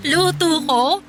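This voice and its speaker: A woman's voice: very high-pitched, exaggerated voice. A woman playing a playful, ambitious college girlfriend in a radio drama, speaking conversationally with a Filipino accent.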